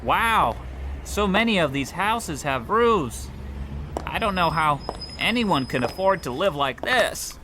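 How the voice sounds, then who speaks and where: A man's voice: a little high, very animated, takes it slowly, noisy background. A man playing a meek, poor, flustered clerk in a comic radio play, hesitant, apologetic and prone to stammering. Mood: naive, apologetic. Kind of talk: comic old-time radio play acting.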